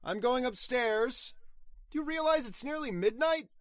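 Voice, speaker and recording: A man playing a skeptical husband in an old-time radio horror drama, halting and dismissive. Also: very high voice, expressive, fairly bright tone, dry recording, heavily acted. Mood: weary, dismissive. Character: skeptical, dismissive husband trying to stay calm.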